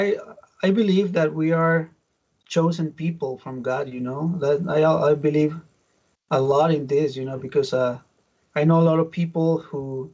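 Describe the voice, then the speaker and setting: A middle-aged man from Mexico with a Mexican Spanish accent, a native Spanish speaker giving his testimony as a pastor in an interview, halting with many restarts. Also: a little high, a little mellow, highs cut off. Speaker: pastor, guest.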